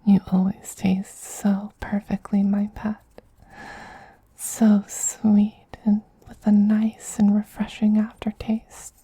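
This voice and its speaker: A man voicing a doting, possessive femboy vampire queen in a soft, breathy whisper, slow, tender and gently commanding, as in an ASMR roleplay. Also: sits high, completely flat delivery, no echo, heavily acted, rough recording. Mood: indulgent, intimate.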